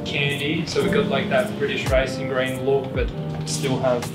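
A man's voice: mid-range voice, flat delivery, moderate pace. A young man who owns a custom paint shop, explaining plainly in short, matter-of-fact sentences. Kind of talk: casual explanation. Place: paint workshop, indoors. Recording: echoey workshop with loud music.

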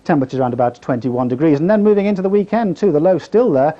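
A man's voice: a little high, lively intonation, racing, warm tone. A middle-aged man presenting a television weather forecast in a studio. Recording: dull archive VHS sound.

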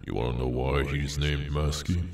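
A man voicing a cursed, inhuman henchman in an ASMR roleplay, bored, sardonic and menacing, with an unhurried dry delivery. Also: steady tone, darkish tone, recorded from a distance. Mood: dryly explaining. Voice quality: very deep, gravelly. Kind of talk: ASMR audio roleplay, slow dramatic monologue.

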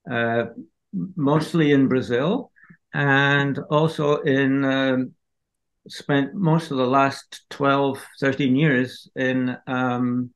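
An older man from Scotland, a film composer talking slowly and hesitantly on a video call from home. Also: medium pitch. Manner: slow, hesitant, many fillers. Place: home room.

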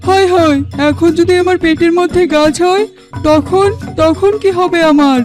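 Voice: very high-pitched, fairly even intonation, normal speed, a little mellow, soft background music. An actor voicing a lazy, henpecked husband fox in a children's cartoon, whiny and dramatic, often muttering to himself. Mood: panicked. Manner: whining, exclaiming, muttering to himself. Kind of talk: children's fairy-tale cartoon voice acting.

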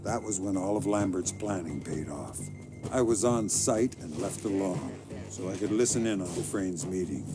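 A weary, hard-bitten covert operative from a video game. His voice sits low and he reports in terse, sardonic, matter-of-fact sentences. Loud music plays underneath. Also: normal speed. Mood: terse, dry. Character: middle-aged male covert agent, weary, hard and sardonic. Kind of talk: video game cutscene acting, terse recounting. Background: loud dramatic music.

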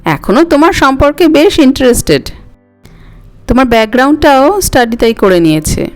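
A woman's voice: sits high, very animated, moderate pace. A woman voicing a curious, teasing young granddaughter in a dramatic story reading. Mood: impressed, teasing. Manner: teasing, inquisitive. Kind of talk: dramatic audiobook character reading. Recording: music under the voice.

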